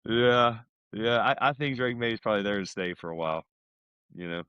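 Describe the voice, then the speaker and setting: A man's voice: fairly low pitch, brisk, smooth, dry recording, lo-fi sound. A middle-aged American man in casual podcast chat, talking in an informal, buddy-like way. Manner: informal, buddy-like, peppers talk with "man" and "dude".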